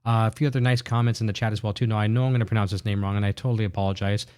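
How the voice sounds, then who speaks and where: A man's voice: lowish voice, fairly even intonation, very fast, a little mellow, clear, resonant tone. A man hosting a show, chatting with a guest and reading out viewer comments. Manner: upbeat, reads viewer comments. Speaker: show host. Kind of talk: casual interview chat.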